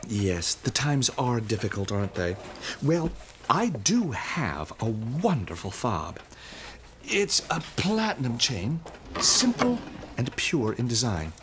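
Courteous, expressive male shop clerk. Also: mid-range voice, a little hiss or hum, full character voice. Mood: courteous, attentive. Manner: polite, measured, formal. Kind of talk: stage-style radio drama acting.

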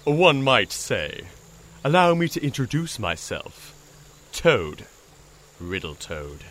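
A man plays an enormous, cryptic toad from an audio drama. He speaks formally and with measured pomp at a middling male pitch, his intonation animated and dryly witty. Crickets sound behind him.

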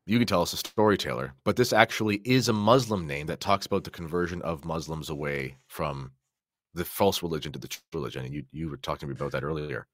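Low voice guy doing podcast commentary, dry sound, chatty but emphatic. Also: fairly bright tone, no room sound.

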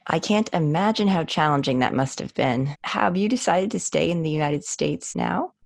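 Gravelly-voiced woman podcast host. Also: low-pitched, expressive, moderate pace. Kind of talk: scripted introduction and interview questions.

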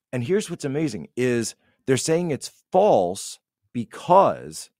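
A man's voice: medium pitch, no echo, studio quality. A man giving casual political commentary as a podcast guest, emphatic and repeating phrases to make his point.

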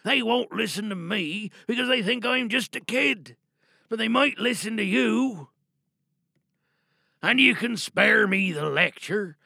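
A man performing a monologue as an anxious, worn-down high school senior who pleads in despair. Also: animated, a little slow, gravelly, pushed and bright, no room sound, clean studio sound, exaggerated voice. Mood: desperate pleading. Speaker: monologue reader. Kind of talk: dramatic audio monologue.

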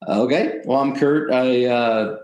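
A middle-aged man, a pastor and club chaplain, talking on a podcast in a halting way with many fillers. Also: medium pitch, darkish tone. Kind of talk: casual podcast chat. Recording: roomy echo.

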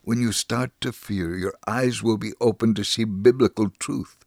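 A man with a lowish voice narrates a religious testimony. He is earnest and measured, telling the story with solemn drama, in a dry, close recording. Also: steady tone, a little mellow, dry recording. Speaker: narrator reading a first-person testimony.

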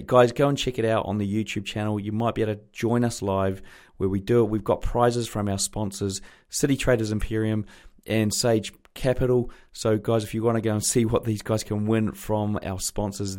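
Male New podcast host, slightly croaky throat, loose chatty delivery, a touch low in pitch. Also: flat delivery, moderate pace, a little mellow. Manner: chatty, rambling, many fillers. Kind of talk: casual podcast intro.

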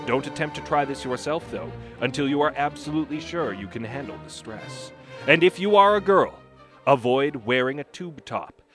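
A man gives a mock-serious, theatrical dramatic reading of how-to tips in a deliberate way. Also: medium pitch, lively intonation, a bit bright. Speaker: reader of a comic dramatic reading. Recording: music playing behind the voice.

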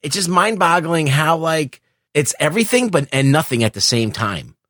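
A man's voice: a little high, animated, normal speed, fairly bright tone, no echo. A man co-hosting a casual film discussion, speaking emphatically with lots of swearing into a close microphone. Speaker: podcast co-host reviewing films. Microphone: close podcast microphone.

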